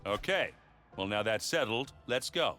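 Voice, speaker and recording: Big goofy adventurer, bright and bouncy. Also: male voice, lowish voice, lively intonation, unhurried, soft background music. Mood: joking. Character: big goofy, boyish, good-natured oaf adventurer. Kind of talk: comic animated video game acting.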